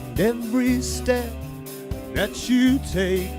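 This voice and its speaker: A woman singer performing a country-pop song in slow, drawn-out sung phrases. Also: fairly high pitch, lively intonation. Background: loud guitar band backing.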